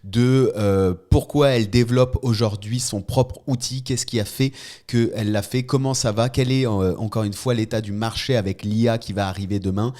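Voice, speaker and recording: A man hosting a podcast interview, speaking English with a French accent and often pausing to find his words. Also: on the low side, steady tone, warm tone, dry recording, clean studio sound. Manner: hesitant, searching for English words. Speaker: podcast host. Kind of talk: interview intro and questions.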